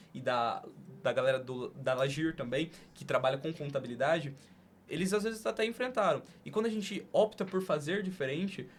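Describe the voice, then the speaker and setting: A young man with a Brazilian accent hosting a podcast in casual, slangy conversation. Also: on the high side. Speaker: podcast host.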